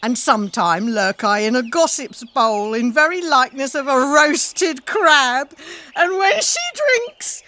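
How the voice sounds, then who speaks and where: A high, bright-edged forest sprite, acted by a woman, nimble and mischievous. The verse is declaimed with a strong rhythm, in the theatrical manner of Shakespearean radio drama. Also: lively intonation, a little slow, dry recording, full character voice. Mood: boastful, mischievous.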